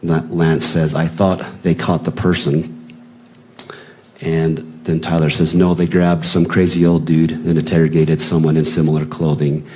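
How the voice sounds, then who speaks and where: A man's voice: extremely low pitch, little pitch movement, a little slow, dark tone, rough recording. A man reading written messages aloud in a plain, unacted way, as in testimony at a hearing.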